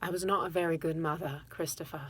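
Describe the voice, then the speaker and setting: An actress playing a regretful, quick-tempered mother confessing to her estranged son, in a halting dramatic monologue. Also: deep voice, steady tone, fairly bright tone, husky. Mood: regretful, subdued. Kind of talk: dramatic monologue, self-taped audition.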